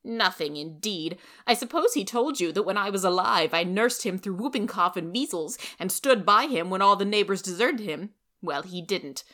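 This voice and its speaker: A woman voicing a sour, sharp-tongued woman of sixty in a storybook, scolding and sarcastic. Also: medium pitch, animated, normal speed, natural voice. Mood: vindictive, indignant. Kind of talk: storybook character reading.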